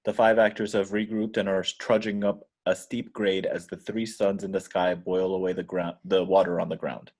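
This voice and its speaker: A man's voice: low voice, little pitch movement, normal speed, hardly any pauses, darkish tone. A man reading screenplay stage directions as scripted narration in an amateur table read.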